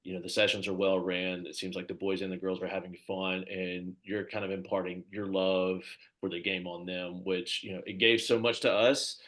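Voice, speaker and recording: A man's voice: low voice, flat delivery, normal speed. A man hosting a casual video call between youth soccer coaches, chatty and full of fillers, thanking each guest in turn. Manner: chatty, lots of fillers, warmly thanks others. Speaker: club coach hosting the coach introductions.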